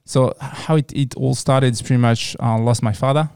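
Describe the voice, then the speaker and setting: A man from France with a French accent, answering casually as an interview guest in a halting, repetitive way. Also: mid-range voice, steady tone, darkish tone, clean tone, no room sound, clean studio sound. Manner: halting, repeats words and phrases.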